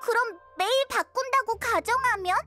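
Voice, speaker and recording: A woman's voice: very high-pitched, moderate pace, music in the background. A woman voicing a small childlike fairy companion in a video game, earnest, inquisitive and blunt. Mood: curious.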